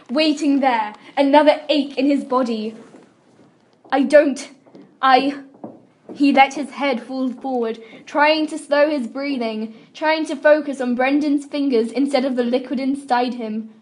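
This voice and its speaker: A woman's voice: high voice, steady tone, measured pace. A young woman giving an amateur dramatic reading of fan fiction, with a giggly manner. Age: young adult. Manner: giggly, breaking into laughter while reading. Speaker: amateur reader. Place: room at a school.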